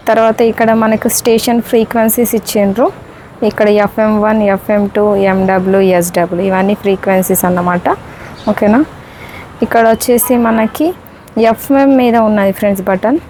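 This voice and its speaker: A woman's voice: medium pitch, darkish tone, slight background noise, poor audio. A woman with a Telangana Telugu accent doing a casual unboxing demonstration, often checking in with listeners as friends. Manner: repeatedly checks in with listeners, addressing them as friends. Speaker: vlogger presenting a product.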